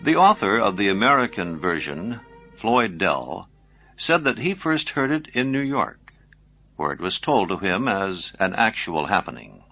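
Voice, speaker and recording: A man presenting scripted educational radio narration in a measured, explanatory manner. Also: fairly low pitch, big pitch swings, sharp tone, lo-fi sound, soft background music. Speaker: programme presenter.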